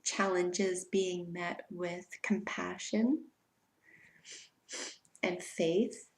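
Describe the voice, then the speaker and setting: A woman's voice: lowish voice, fairly even intonation, roomy sound. Stuffy-voiced woman reading tarot. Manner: soft, unhurried, spiritual invocations. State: stuffy from allergies. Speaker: tarot reader. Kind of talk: weekly tarot reading video.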